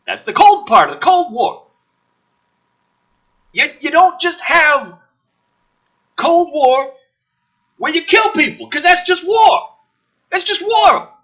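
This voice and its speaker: A man's voice: very high voice, big pitch swings, slow, long pauses, bright, forceful tone. A man giving ranting commentary as the host of a script review, exasperated, sarcastic and full of swearing. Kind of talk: ranting commentary mixed with dramatic script reading.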